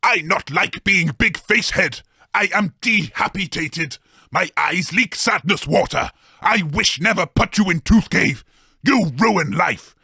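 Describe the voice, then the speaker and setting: A man's voice: sits high, unhurried, gravelly, bright, forceful tone, husky, dry recording, studio quality, heavily acted. A man voicing a doleful, dim, brutish monster with childlike broken grammar in an absurd radio comedy. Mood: sulky sorrow. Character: mournful, dim brutish big-headed monster.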